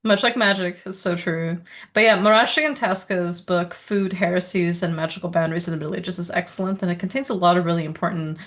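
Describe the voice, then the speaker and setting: A woman of origin with a slightly lowered pitch, chatting casually as a podcast co-host. She talks in loose, rambling runs, peppering her speech with filler words and easy laughter as she goes. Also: steady tone, a bit bright. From Serbia. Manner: rambling, quick asides, lots of "like" and laughter. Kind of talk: casual conversational chat.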